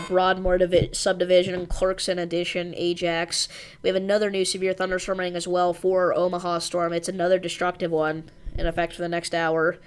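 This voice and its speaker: A woman hosting a live weather stream, briskly reading out storm warnings in an informal way with some fillers. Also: lowish voice, slight background noise. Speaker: weather livestream host. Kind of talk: live severe weather coverage.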